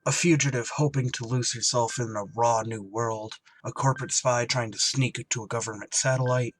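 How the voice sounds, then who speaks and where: An actor playing a gruff, tormented emergency ship pilot in a radio drama, terse and weary under strain. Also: male voice, mid-range voice, fairly even intonation, normal speed, a little hiss or hum, natural voice. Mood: brooding, anguished. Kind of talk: radio drama acting with narrated inner monologue.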